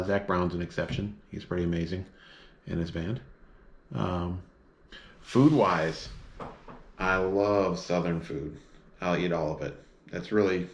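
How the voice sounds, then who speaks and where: A man from the northern United States with a low, deep voice, chatting casually to his viewers. He rambles and fills pauses with ums and you-knows. The room around him rings noticeably. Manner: rambling, many filler words. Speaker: vlogger. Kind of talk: casual chat to camera. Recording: echoey room.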